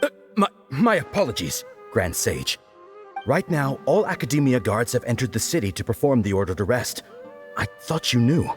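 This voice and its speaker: A deferential, anxious underling from a video game, played by a man. His mid-pitched voice swings in intonation as he stammers and apologizes, with faint music behind. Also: sing-song, normal speed, lightly acted. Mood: flustered. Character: nervous, deferential male academy subordinate. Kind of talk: dramatic English video game voice acting.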